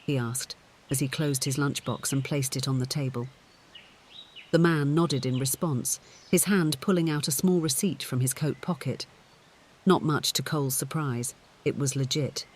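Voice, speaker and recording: A man's voice: mid-range voice, fairly even intonation, moderate pace, slight background noise. A man reading scripted audiobook fiction as its narrator.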